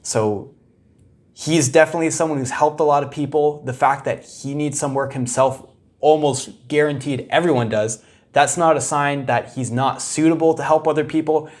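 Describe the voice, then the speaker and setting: A man's voice: fairly high pitch, steady tone, moderate pace, darkish tone. A young man hosting a casual reaction commentary, talking loosely with fillers and frequent laughs. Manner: casual, hesitant, laughs often. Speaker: commentator, video host.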